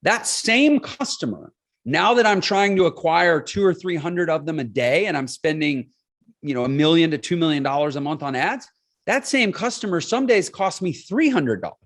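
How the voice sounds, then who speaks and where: A man's voice: on the high side, expressive, normal speed, fairly bright tone. A man in his forties, a podcast guest, explaining his points emphatically and often checking in with "right?". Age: 40s. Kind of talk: casual interview talk.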